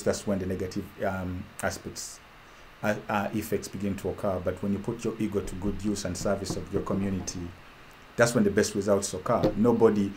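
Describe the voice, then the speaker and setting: A man's voice: fairly low pitch, darkish tone, slight background noise. A man from Uganda hosting a casual talk with an online audience. He speaks with fervent, repetitive rhetoric and trails off with ums. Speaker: host.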